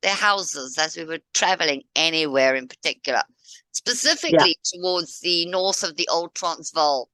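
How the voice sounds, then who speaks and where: A woman's voice: low voice, expressive, normal speed, sharp tone, dry recording, poor audio. A woman from Africa, chatting casually as co-host and rambling through history while pointing at a map. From South Africa. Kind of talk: casual discussion.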